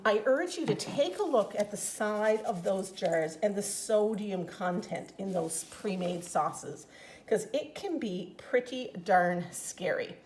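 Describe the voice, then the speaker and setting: A woman with a Newfoundland accent, chatting upbeat through a home cooking demonstration in her kitchen. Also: mid-range voice. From Newfoundland, Canada. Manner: chatty, upbeat, enthusiastic about flavor. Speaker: cooking product consultant.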